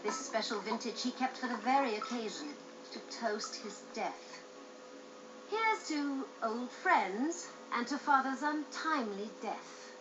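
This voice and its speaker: A woman's voice: fairly high pitch, a little slow, lightly acted. A woman playing a cool, poised young crime heiress in a video game, speaking formally and with composure. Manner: formal, composed, smoothly aloof. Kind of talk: video game cutscene acting. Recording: dull game audio under music.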